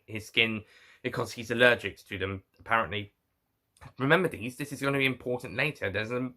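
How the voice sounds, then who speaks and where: A man giving an informal spoken review to viewers, rambling and haltingly correcting himself as he goes. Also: mid-range voice, bright, forceful tone. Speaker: reviewer and host.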